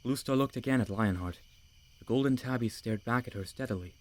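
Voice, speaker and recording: A man's voice: mid-range voice, a little fast. A man reading scripted story narration as the narrator of an audiobook.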